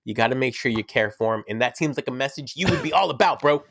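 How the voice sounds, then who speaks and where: A man's voice: medium pitch, quick pace, creaky, fairly bright tone, no echo, studio quality. A young man, sick, talking casually and enthusiastically as a podcast co-host, rambling and teasing his friend. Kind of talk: casual fan chat.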